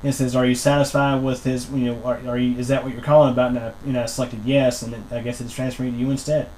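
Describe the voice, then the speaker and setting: A man explaining a technical complaint as a customer on a phone call, wordy and halting, often repeating himself. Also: medium pitch, flat delivery, a little mellow, slight background noise. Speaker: customer calling internet support, channel host.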